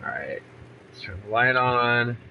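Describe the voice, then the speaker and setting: A man's voice: mid-range voice, lively intonation, unhurried, muffled recording. A young man with a congested voice from a cold, chatting casually and rambling through a let's play from a room at home. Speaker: gaming YouTuber.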